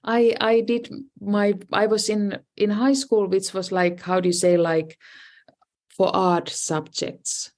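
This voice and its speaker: A woman's voice: mid-range voice, little pitch movement, takes it slowly, darkish tone. A middle-aged woman with a Finnish accent, talking through her life in a casual interview, hesitant, with many restarts and "you know, like" fillers. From Finland. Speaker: design professor and dean, guest.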